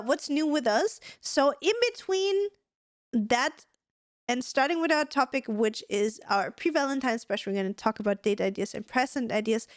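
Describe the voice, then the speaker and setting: Woman podcast co-host, hoarse and talkative, bright-ish pitch, dry recording. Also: no room sound. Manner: chatty, clears her throat and coughs often. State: hoarse, losing her voice. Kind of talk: casual podcast chat.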